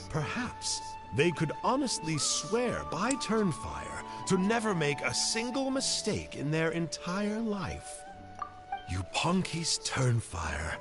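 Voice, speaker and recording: A man voicing a calm, bookish young hunter in a video game, reading old records aloud in a measured way. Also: on the high side, exaggerated voice. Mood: dry. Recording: game audio with clear music behind.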